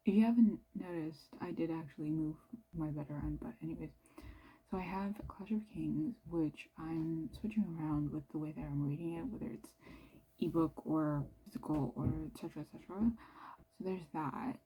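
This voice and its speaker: A young woman from Canada with a Canadian accent and a fairly low, mellow voice. She keeps her talk hushed and near-whispered. Her delivery is loose and rambling, full of "like" and trailing asides, with a husky edge. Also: poor audio. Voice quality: low-ish voice. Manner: talking quietly, near-whispered; rambling, filler-heavy with "like". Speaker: book vlogger. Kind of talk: casual chat.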